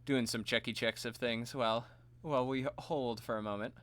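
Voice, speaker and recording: Man with a tired, gravelly voice. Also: mid-range voice, steady tone, normal speed, a bit bright. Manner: chatty, self-deprecating, laughs at himself. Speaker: streamer and voice actor. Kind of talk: casual live stream chat.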